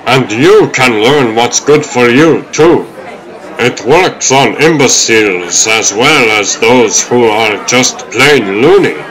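A man's voice: mid-range voice, expressive, slow, fairly bright tone, some chatter behind, poor audio. A man voicing a wordy old turtle showman in a comic dub, with theatrical sales patter. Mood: grandiose pitch. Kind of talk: comic dub character acting.

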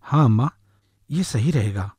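A man playing an earnest, anxious husband and office worker in an animated story, with a husky voice. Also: mid-range voice, slow, dry recording, clean studio sound, exaggerated voice. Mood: grateful, earnest. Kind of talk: animated moral story voice acting.

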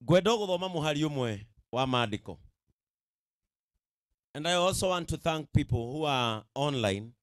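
Male Kenyan pastor, middle-aged, Kikuyu speaker. High-pitched, emphatic sermon delivery into a close handheld mic, dry sound. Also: animated, normal speed, pauses often, dry recording. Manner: emphatic, repetitive, checks in with the audience. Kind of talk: sermon to a live gathering. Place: outdoor tented event. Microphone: handheld microphone.

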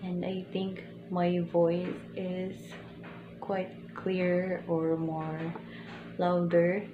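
A young Filipino woman with a soft, quiet voice, chatting casually through a microphone review in a small bedroom and switching between English and Tagalog. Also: lowish voice, completely flat delivery, drawn-out words, roomy sound, phone-quality sound, background noise. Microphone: phone camera, later a small clip-on mic.

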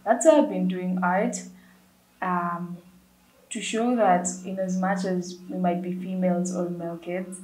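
A woman's voice: fairly low pitch, steady tone, measured pace, a little mellow, rough recording. A young adult woman with an East African accent, giving a personal account in an interview. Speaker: artist. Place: indoor painting studio room. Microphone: lapel.

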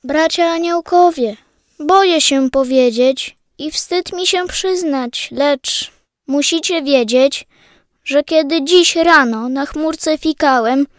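High, timid little boy angel voiced by a woman. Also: takes it slowly, no room sound, studio quality. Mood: ashamed, nervous. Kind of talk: children's storybook audio play.

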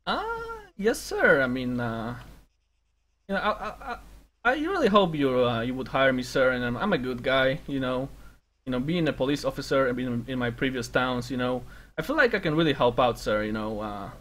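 A man's voice: medium pitch, expressive, moderate pace, fairly bright tone. A man roleplaying a job applicant in a game, hesitant and full of fillers, breaking into laughter. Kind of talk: in-character roleplay banter.

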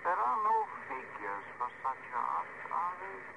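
Gentle alien spaceman, noisy old radio. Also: a little high, big pitch swings, measured pace, gravelly, exaggerated voice. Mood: wistful, regretful. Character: wistful, gentle alien spaceman heading home. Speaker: actor. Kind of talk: mid-century radio drama acting. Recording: very noisy, muffled vintage radio.

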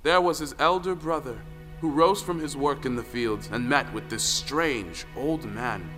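A man narrating a scripted folktale in a deliberate, dramatic manner. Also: mid-range voice, fairly bright tone. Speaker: storyteller. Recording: long reverb, clear music underneath. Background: music.

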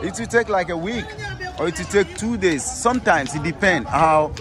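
A man's voice: high voice, lively intonation, moderate pace, music in the background, a crowd in the background. A man with a Ghanaian accent casually explaining things as a tour guide, often repeating and rephrasing himself. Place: outdoors at a busy bus station. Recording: outdoor street noise. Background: street and vehicle noise.

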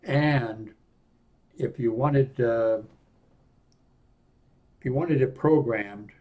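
An older man with an American accent giving an unscripted product walkthrough in a halting, rambling way that often trails off. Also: mid-range voice, dark tone. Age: 60s or older. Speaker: presenter of a radio equipment review. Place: small indoor room at a desk.

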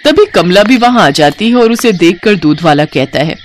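A woman's voice: on the low side, animated, normal speed, a little hiss or hum. A woman reading scripted narration for a cartoon family story.

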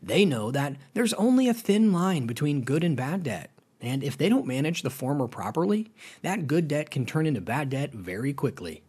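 A man's voice: a little high, normal speed, breathy edge. A man with an American accent narrating a finance explainer in a chatty scripted voice-over, talking to viewers as guys. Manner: chatty, addresses viewers as guys, lively rises.

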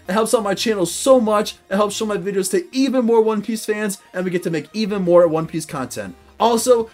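A young man hosting a video game playthrough, cheering loudly as he narrates his progress from his desk. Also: sits high. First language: English. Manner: excitable, cheering loudly at victories. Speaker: gaming video host. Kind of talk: let's-play commentary. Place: indoor room at a desk.

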